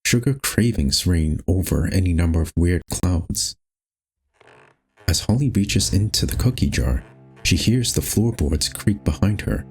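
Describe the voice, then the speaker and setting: Deep-voiced man narrating a spooky story. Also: expressive, a little slow, husky, faint music behind, rough recording. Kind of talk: scripted storybook-style horror game narration.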